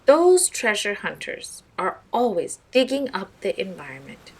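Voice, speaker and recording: A woman voicing a stern, high-minded environmentalist doctor in a storybook, emphatic and indignant. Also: sing-song, a little slow, slightly rough, full character voice, poor audio.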